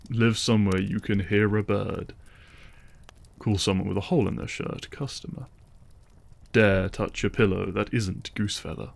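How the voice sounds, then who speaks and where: A man playing a dry, terse vampire hunter in a fantasy audio drama, a gruff and reluctant ally. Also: lowish voice, moderate pace. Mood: dry teasing. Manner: deadpan, terse.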